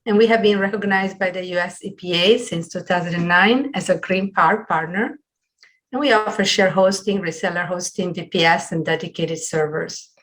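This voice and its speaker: A middle-aged woman hosting a webinar on a video call from a small room at home, introducing things in a halting, partly read way. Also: fairly low pitch, fairly bright tone, low-quality recording. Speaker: webinar host. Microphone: computer video call.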